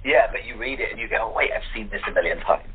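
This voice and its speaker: A man's voice: fairly low pitch, expressive, racing, crowd noise. A man talking as a guest in a casual chat. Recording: thin, tinny phone-like line.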